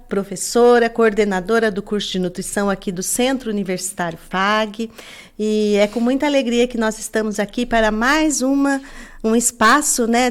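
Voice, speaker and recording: Woman, middle-aged, slightly high voice, interview host, chatty, tag questions. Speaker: host, nutrition professor and course coordinator. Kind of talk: academic interview hosting.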